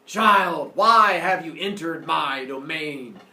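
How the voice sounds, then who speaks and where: Man acting a booming, gigantic sun god. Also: expressive, slow pace, sharp tone, full character voice. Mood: commanding. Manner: booming, grand, declamatory. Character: mighty, gigantic male sun god, solemn proud father. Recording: roomy, distant mic, faint noise.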